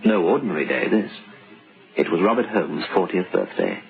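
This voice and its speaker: A knowing suspense narrator tells a radio tale with wry drama. His low voice is creaky and swings expressively from phrase to phrase. It sounds like a muffled vintage broadcast. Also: expressive, moderate pace, faint music behind. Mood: dry, suspenseful. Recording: muffled old radio recording.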